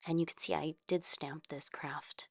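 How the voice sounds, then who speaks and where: A woman's voice: low voice, fairly even intonation, normal speed, gravelly, husky, no echo, studio quality. A woman with an American accent chatting casually in a rambling, conversational way, thinking aloud as she hosts a craft video. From California, United States. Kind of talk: casual chat about journaling.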